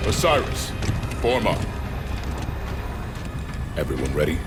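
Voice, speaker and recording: A man playing a steady, disciplined squad leader in a video game, giving clipped, authoritative orders. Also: low-pitched, slow pace, over loud music, full character voice. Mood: calm, firm.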